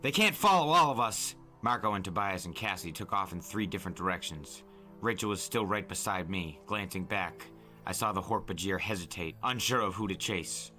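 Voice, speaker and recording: A low-voiced man tells a dramatic tale as narrator. His tone is bright and forceful, the phrasing intense and deliberate, with music behind him. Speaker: storyteller, podcast narrator.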